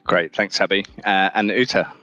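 A man's voice: low voice, steady tone, normal speed, pushed and bright, lo-fi sound, faint music behind. A man hosting a panel podcast, introducing guests politely with frequent "um" fillers. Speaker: podcast host, moderator.

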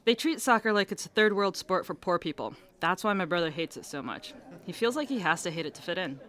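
A woman's voice: fairly low pitch, lively intonation, brisk, a bit bright. A woman playing a feisty, stubborn young soccer supporter in an audio drama, blunt and combative. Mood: earnest, resentful.

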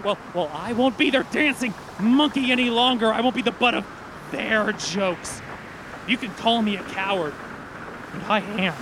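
A performer playing a distraught, guilt-ridden teenage boy, the class clown, halting and overwrought in a comedic audio drama. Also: mid-range voice, noisy background, close to an everyday voice. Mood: unhinged, desperate.

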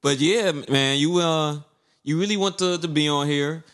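Male podcast host, higher voice, playful interviewer. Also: normal speed, a bit bright. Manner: teasing, asks short questions. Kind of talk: casual podcast chat.

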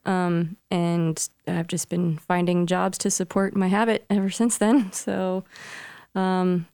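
A woman's voice: fairly low pitch, little pitch movement, slow, vocal fry, darkish tone, clean tone, no echo. An American woman from Colorado chatting casually as a guest, laid-back and self-effacing. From Denver, Colorado. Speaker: guest singer-songwriter. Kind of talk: casual chat. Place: music studio.

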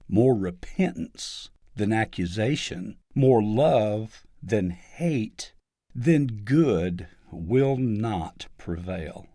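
Guy reading a faith article out loud, really slow and earnest, very dry close sound. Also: lowish voice, no echo. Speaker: article narrator. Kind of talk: scripted article reading, devotional commentary.

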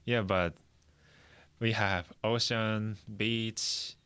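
A young man from Japan with a Japanese accent, hosting a casual chat in halting, simply phrased non-native English. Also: lowish voice, fairly even intonation, a bit bright, smooth. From Chiba, Japan. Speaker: podcast host. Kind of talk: casual free talk.

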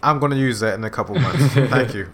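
A man's voice: medium pitch, fast talker, crowd noise. A man in his late twenties, chatting casually and playfully as an interviewer, with slangy phrasing. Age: 20s. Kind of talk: casual chat.

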